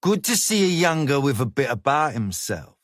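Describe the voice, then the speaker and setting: A man voicing a cocky, flashy male gang leader who talks in slang, smooth and menacing. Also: mid-range voice, big pitch swings, moderate pace, dry recording, clean studio sound, lightly acted. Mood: coaxing. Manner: coaxing street slang, persuasive.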